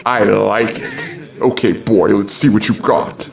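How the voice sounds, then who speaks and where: An actor playing a sly, swaggering soldier in a fantasy audio drama, with a gravelly voice and a theatrical delivery. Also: male voice, lowish voice, a little slow, noisy background. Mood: sly, taunting.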